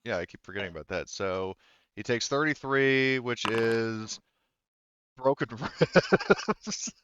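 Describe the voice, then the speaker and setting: Male game master, clear smooth voice at medium pitch, casual and sweary, on dry, slightly dull audio. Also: lively intonation, slow pace, fairly bright tone, dry recording. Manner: profane and jokey. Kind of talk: casual tabletop roleplaying narration and rulings.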